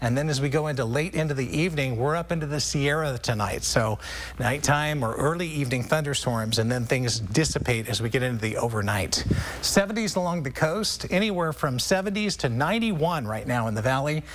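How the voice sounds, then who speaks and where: A man's voice: medium pitch, lively intonation, a little fast, slight background noise. Conversational American TV weatherman explaining the forecast. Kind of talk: ad-libbed broadcast weather forecast.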